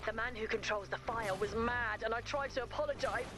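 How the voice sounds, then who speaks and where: A woman playing an eccentric, sweet and nosy video game character, theatrical and expressive. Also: on the high side, a little fast, over music, close to an everyday voice. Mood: plaintive, earnest. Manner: theatrical, expressive, reads aloud dramatically.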